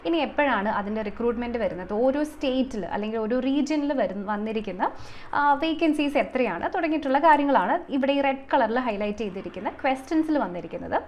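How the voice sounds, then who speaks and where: A woman's voice: a little high, lively intonation, quick pace, dull audio, slight background noise. A woman giving an explanatory exam coaching lesson, mixing in many English terms and numbers. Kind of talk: explanatory video lesson, semi-formal.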